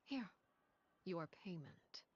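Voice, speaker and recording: A woman voicing a cold, commanding noblewoman who speaks in a calm, measured, condescending way. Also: lowish voice, slight background noise. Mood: cool commanding. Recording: dull game audio with music.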